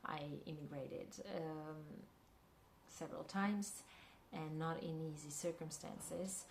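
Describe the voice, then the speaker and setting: A woman with a Russian accent, a filmmaker and actress, answering an interview question thoughtfully and pausing often to find her words. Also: sits low, fairly even intonation, slight background noise. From Kyrgyzstan. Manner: thoughtful, searching for words with many pauses.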